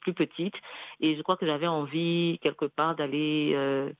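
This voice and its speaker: A woman whose first language is Bassa talks as a guest on a radio show, searching for her words with many pauses and restarts. The sound is dry and close, slightly muffled like broadcast audio. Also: steady tone, dry recording. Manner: reflective, hesitant, many fillers and restarts. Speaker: singer and music school founder, radio guest. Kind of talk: radio interview conversation.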